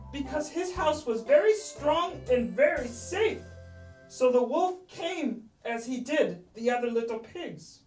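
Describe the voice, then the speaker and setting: A woman's voice: high voice, darkish tone, reverberant, distant mic, rough recording. A woman telling a children's story in a dramatic, playful way, drawing out key words.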